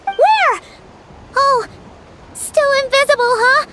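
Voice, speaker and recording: A woman voicing a tiny, chirpy, childlike fairy companion with a squeaky voice in an anime video game. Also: expressive, takes it slowly, exaggerated voice. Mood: concerned. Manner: cute, refers to self in third person.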